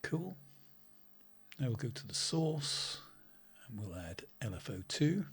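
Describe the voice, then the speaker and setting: Slightly hoarse man walking through a synth. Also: medium pitch, takes it slowly. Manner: relaxed, talks while clicking, many ums and restarts. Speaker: synth tutorial host. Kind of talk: casual screen-recorded software tutorial. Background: faint synth music.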